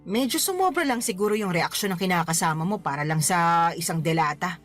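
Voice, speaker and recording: Animated nagging mother played by an actress. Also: fairly low pitch, brisk, natural voice. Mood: advising. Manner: exclamatory, scolding, motherly. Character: teasing, nagging, fond middle-aged mother. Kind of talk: radio drama dialogue. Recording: music under the voice. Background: music.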